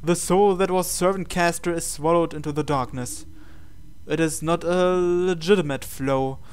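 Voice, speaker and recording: Clear, higher-pitched man narrating a game. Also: steady tone, normal speed. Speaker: host reading a game aloud. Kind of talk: dramatic narration of visual novel text.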